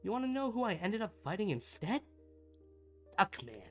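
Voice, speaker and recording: Guy doing a hammy bumbling supervillain for an asmr roleplay, pompous, pitch all over the place, music behind. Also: big pitch swings, normal speed, a bit bright. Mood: chatty, concerned. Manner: pompous, melodramatic, swinging into chatty asides. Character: theatrical, bumbling supervillain, gloating yet secretly caring rival. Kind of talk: scripted ASMR roleplay monologue.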